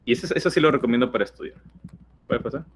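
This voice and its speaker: A man's voice: mid-range voice, fast, breathy edge. A teenage man from Peru with a Peruvian accent, giving a webinar talk in an explanatory way with many fillers and restarts. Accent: Peruvian Spanish. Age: teens. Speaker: guest speaker.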